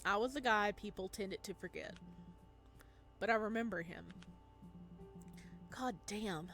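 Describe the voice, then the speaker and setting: A weary, anxious young man read by a woman narrates a visual novel over background music. He is introspective and hesitant, full of self-doubt, in a mid-pitched female voice. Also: a little slow, fairly bright tone. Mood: wistful.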